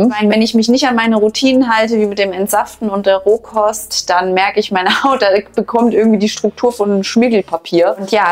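A woman in her thirties talking to camera in a bright home kitchen, chatty and upbeat, on a lapel mic. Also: medium pitch. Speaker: raw food vlogger. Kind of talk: casual to-camera explanation.

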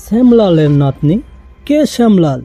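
A man voicing a stern, questioning younger gentleman in an animated cartoon horror story. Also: high-pitched, moderate pace, dry recording, soft background music. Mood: stern, alarmed. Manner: firm, questioning.

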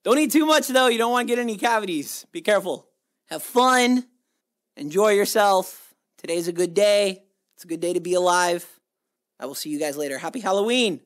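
A man giving a loud, goofy, self-mocking casual outro to viewers. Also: high voice, lively intonation, a little fast. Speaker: voice actor.

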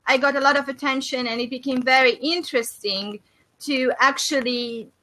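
A middle-aged woman from Hungary with a Hungarian accent, hosting a faith and business video call and speaking thoughtfully with many pauses and fillers. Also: high voice, pushed and bright, a little hiss or hum, rough recording. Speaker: host of a faith and business discussion. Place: small room indoors. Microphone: webcam on a video call.

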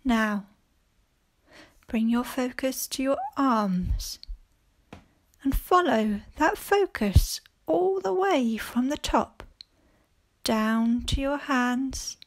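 Very slow, calm woman guiding meditation. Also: high-pitched. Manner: calm, gentle instructing with long pauses between short phrases. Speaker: primary school teacher leading a guided meditation. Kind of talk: guided mindfulness body scan for children.

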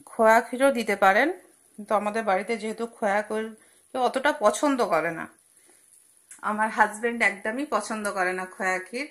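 A woman's voice: medium pitch, fairly bright tone. Young woman, home cook host, chatty step-by-step talk, sizzling pan behind, phone-recorded kitchen. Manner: chatty, explains each step with fillers and asides. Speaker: cooking channel host. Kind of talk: casual cooking demonstration voice-over. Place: home kitchen at a gas stove. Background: frying and sizzling from the pan.

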